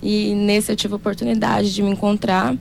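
A woman from Brazil giving a soft-spoken, halting and heartfelt personal testimony. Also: mid-range voice, level tone, creaky, a little hiss or hum.

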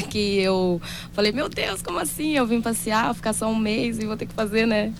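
A woman from Brazil giving a soft-spoken, halting and heartfelt personal testimony. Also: a little high, a little hiss or hum.

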